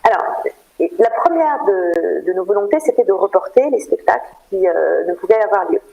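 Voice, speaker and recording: A woman answering radio interview questions in an explanatory way, often pausing as she searches for words. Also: mid-range voice, expressive, vocal fry, mellow, dull audio. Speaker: theatre programme manager, interview guest.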